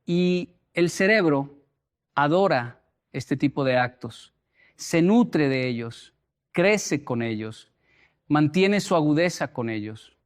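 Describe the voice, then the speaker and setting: A man speaking as a motivational speaker, deliberate and emphatic, repeating phrases for effect as he explains and tells stories. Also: a little high, animated. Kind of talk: motivational talk with storytelling.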